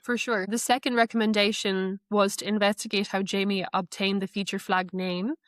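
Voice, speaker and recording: A woman guest giving a conversational walkthrough of how she works with a software tool. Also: medium pitch, little pitch movement, moderate pace, no echo, studio quality. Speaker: guest, AI knowledge manager.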